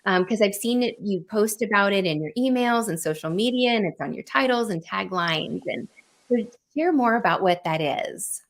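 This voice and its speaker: A woman's voice: medium pitch, expressive, normal speed. A woman hosting a business podcast, reading an upbeat intro and asking interview questions with enthusiasm. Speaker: podcast host.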